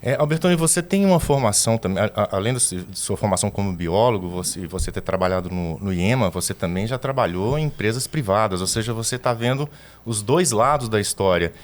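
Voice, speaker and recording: A man's voice: lowish voice, lively intonation, a little fast. A man co-hosting a podcast, asking the guest long, conversational questions. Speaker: podcast co-host. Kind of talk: casual interview questions.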